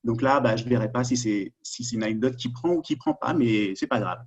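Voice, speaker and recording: A man's voice: mid-range voice, a little fast, husky, dull audio. A man with a Parisian accent presenting on a webinar in a chatty, self-deprecating way, often repeating words as he starts his sentences. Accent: Parisian French. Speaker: panelist presenter.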